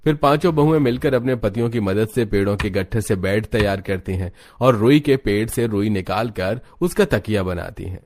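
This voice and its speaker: कहानी सुनाता गहरी आवाज़ वाला पुरुष. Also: male voice, on the low side, moderate pace, hardly any pauses, darkish tone, slight background noise. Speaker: narrator. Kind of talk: scripted cartoon story narration.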